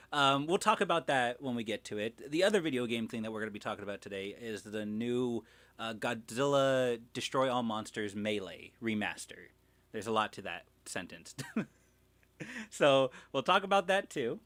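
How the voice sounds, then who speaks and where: A man's voice: medium pitch, a bit bright, no echo. A man hosting a livestream in casual chat, rambling and self-deprecating, with some swearing. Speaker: livestream host.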